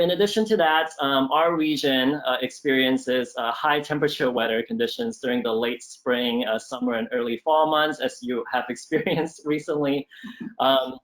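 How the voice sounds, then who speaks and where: Young male analyst, mid-pitched, steady and hesitant. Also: low-quality recording. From Southern California. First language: Cantonese. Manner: measured, with frequent ums and uhs. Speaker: management analyst at a regional government council. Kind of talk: conversational interview answers.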